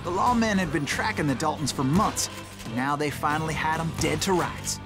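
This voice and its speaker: A man's voice: on the high side, fairly quick, close to an everyday voice. An actor voicing an eager, hero-worshipping young man in a Western game, narrating earnestly and dramatically with an American accent. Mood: admiring, dramatic. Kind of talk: scripted in-character narration. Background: music.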